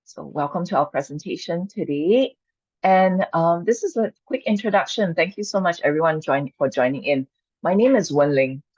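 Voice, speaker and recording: A woman leads an online qigong workshop in a gentle, reassuring, inviting way. Her is Chinese. The video call audio sounds dull and dry, with no room around her voice. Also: fairly low pitch, animated, moderate pace, breathy edge, no echo. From Singapore. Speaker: mindfulness and qigong coach.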